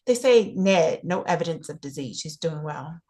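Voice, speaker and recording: An actress playing an earnest, talkative woman in a stage play read, speaking haltingly and insistently with repeated phrases. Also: low voice, lively intonation, warm tone. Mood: insistent, confiding. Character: Ruthie, talkative, earnest, nosy woman offering unasked health advice.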